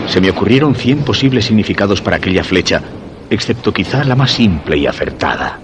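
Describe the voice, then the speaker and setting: Actor as deep-voiced, brooding obsessed commuter. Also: male voice, lively intonation, fairly quick, noisy background, lightly acted. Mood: anxious, obsessed. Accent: Castilian Spanish. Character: obsessed lovelorn male metro commuter narrating his search. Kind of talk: dramatic first-person radio theatre narration.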